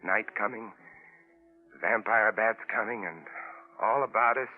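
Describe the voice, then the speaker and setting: A male actor playing a tense stranded adventurer, telling his jungle ordeal in a dramatic, deliberate old-time radio drama style. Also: fairly low pitch. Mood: grim, haunted. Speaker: actor narrating and playing lead. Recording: tinny vintage radio broadcast with music behind.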